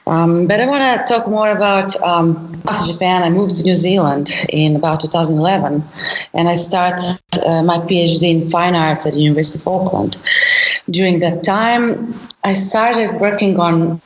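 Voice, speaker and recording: Low-voiced Croatian woman, echoey room. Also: fairly even intonation. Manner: measured, thoughtful, with frequent ums. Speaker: guest artist. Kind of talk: interview answers. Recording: echoey room, faint noise.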